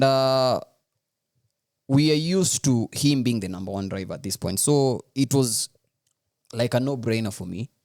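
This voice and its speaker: A man talks as a co-host on a sports podcast. His voice sits at a medium pitch. He keeps the chat informal and teases his fellow hosts. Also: darkish tone.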